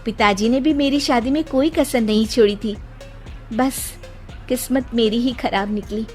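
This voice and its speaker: A woman voicing a gentle, wistful married woman visiting her childhood home in a cartoon story. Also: on the high side, unhurried, faint music behind, voice pushed up, close to an everyday voice. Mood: polite, sad.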